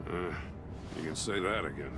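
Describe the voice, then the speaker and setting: An actor playing a world-weary, principled middle-aged police chief in a video game, plain-spoken. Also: male voice, deep voice, normal speed, loud music behind the voice. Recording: outdoor city noise behind voice.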